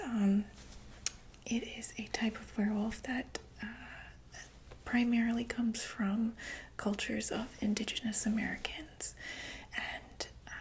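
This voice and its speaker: A woman whispers through an ASMR medical roleplay as an attentive, calm doctor. Her breathy delivery is slow and gravelly at the edges, with gentle, hesitant clinical explanations. A light noise sits behind the voice. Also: on the high side, poor audio. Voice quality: breathy, mostly unvoiced whisper.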